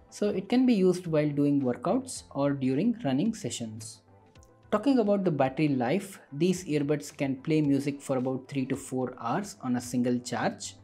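Mellow male tech reviewer, slightly husky. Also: on the high side. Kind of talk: scripted product review narration.

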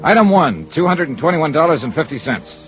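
An actor playing a wry, hard-boiled American insurance investigator in 1950s radio style, with dry, sardonic, clipped delivery. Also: male voice, a little high, big pitch swings, a little fast, a bit bright. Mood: dry narrating. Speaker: radio drama lead and narrator. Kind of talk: 1950s American radio detective acting, clipped first-person narration. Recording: muffled old radio recording, organ music.

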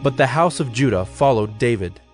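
A man narrating a dramatized audio Bible in a measured, solemn storytelling style. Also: mid-range voice, lively intonation. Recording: faint music behind narration.

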